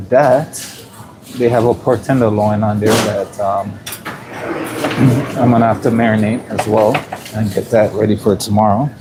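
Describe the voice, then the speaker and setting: A fairly low-pitched man with a raspy edge talks viewers through his prep in a work kitchen. He keeps it loose and conversational, with frequent ums and throat clearing. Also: background noise. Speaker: chef livestreaming from work. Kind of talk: casual live-stream narration.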